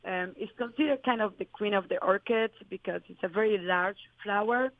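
A woman's voice: mid-range voice, moderate pace, dry recording, phone-quality sound. A woman from Colombia, a winemaker answering interview questions in earnest, flowing explanations with fillers.

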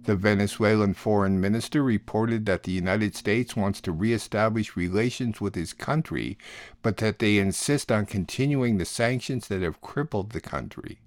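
Man, radio host, lowish pitch, scripted news summary, dry close recording. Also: normal speed, no echo.

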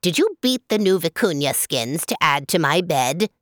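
A woman voicing a huge, bossy male condor who holds a girl captive, speaking in a gruff, suspicious and threatening way. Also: deep voice, very animated, normal speed, dry recording, studio quality.

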